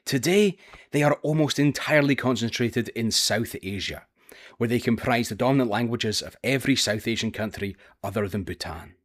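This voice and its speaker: A man's voice: medium pitch, moderate pace. A man with a Scottish accent, presenting a scripted history video in a careful, explanatory way. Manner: careful, explanatory, with occasional filler "uh". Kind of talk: scripted educational narration.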